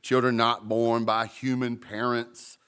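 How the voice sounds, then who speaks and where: A man in the middle of a sermon, his voice climbing high for emphasis and sinking low and quiet at the end of thoughts. He addresses the congregation directly and teases that he mumbles. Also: flat delivery, a little slow, clean tone. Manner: emphatic preaching, calls to the congregation; jokes about mumbling. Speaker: pastor.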